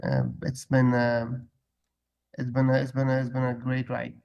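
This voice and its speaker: Flat-toned Afghan-born coach, man. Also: mid-range voice, gravelly, smooth, muffled recording. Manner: steady, plain, pauses with fillers. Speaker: coach and interpreter. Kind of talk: casual interview answers.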